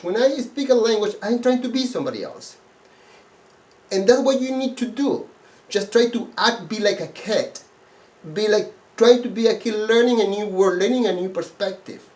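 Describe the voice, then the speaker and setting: Spanish-accented man with a bright, high voice. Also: echoey room. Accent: Peruvian Spanish. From Peru. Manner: earnest, enthusiastic, with frequent restarts and repeated words. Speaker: Spanish teacher. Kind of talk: self-introduction video pitch.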